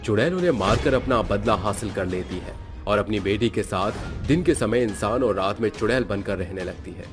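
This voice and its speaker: A man narrates a scripted tale dramatically. His voice sits on the low side, and music plays clearly behind him. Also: normal speed, hardly any pauses.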